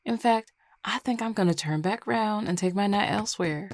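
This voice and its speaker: A woman's voice: medium pitch, moderate pace, a little hiss or hum, close to an everyday voice. A woman acting a flirtatious, teasing Southern werewolf in an audio roleplay, with a Southern US accent and a colloquial drawl. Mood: playful, flirty.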